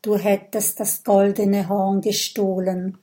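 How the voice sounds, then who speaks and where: Woman voicing a calm little dragon. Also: medium pitch, slow pace, close to an everyday voice. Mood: curious. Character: small friendly young dragon, bold and calm.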